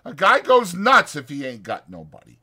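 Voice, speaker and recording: Man acting a high-pitched, bitter, lonely stable hand. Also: huge pitch swings, moderate pace, pushed and bright, exaggerated voice. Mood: lonely, plaintive. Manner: rural folksy speech, brooding, emphatic. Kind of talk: dramatized audiobook character acting.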